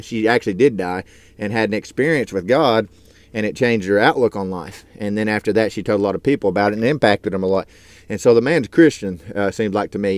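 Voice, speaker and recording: Earnest low-voiced man in his thirties. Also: no echo. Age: 30s. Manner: rambling, earnest, many fillers. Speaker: truck driver and YouTuber. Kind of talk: casual vlog talk. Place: inside his truck.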